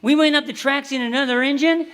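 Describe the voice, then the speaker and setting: Brief: a woman doing a plucky country boy, high voice, folksy talk, echoey theater stage. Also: steady tone, fast, fairly bright tone. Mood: bashful. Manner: folksy drawl with country grammar. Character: eager young frontier boy, plucky.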